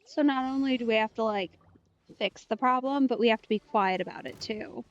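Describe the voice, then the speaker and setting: A woman's voice: on the high side, fairly quick. A woman giving casual let's play commentary as a streamer, informal and reacting to the game, on a slightly dull microphone.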